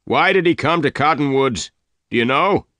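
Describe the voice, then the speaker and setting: A man voicing a fat, gray-haired, angry male leader who talks loudly and demandingly. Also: on the high side, expressive, moderate pace, no room sound, pitched above the performer's own voice. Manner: loud, demanding, questioning.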